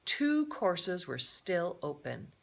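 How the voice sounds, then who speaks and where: Slow, animated woman reading a storybook aloud. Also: on the low side, vocal fry. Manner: expressive, with explanatory asides to listeners. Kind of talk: dramatic read-aloud of a children's adventure novel.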